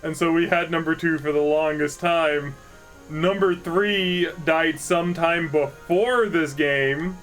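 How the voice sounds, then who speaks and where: A young man talks casually over a video game in a low-key, slightly monotone way. His voice sits a touch high for a man, with faint game music underneath. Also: slow, rough recording. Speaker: gamer. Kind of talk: casual let's-play chat.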